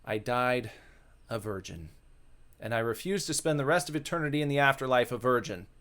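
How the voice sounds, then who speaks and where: A man's voice: mid-range voice, lively intonation, fairly quick, a bit bright, natural voice. Man voicing a lovelorn, earnest young male ghost. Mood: earnest, frustrated. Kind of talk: dramatic visual novel reading. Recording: music behind the voice.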